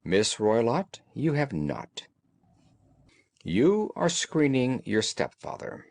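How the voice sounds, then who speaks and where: A man voicing a shrewd gentleman detective in an audiobook, calm, analytical and authoritative, with formal, deliberate phrasing. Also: mid-range voice, no room sound, heavily acted. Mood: probing.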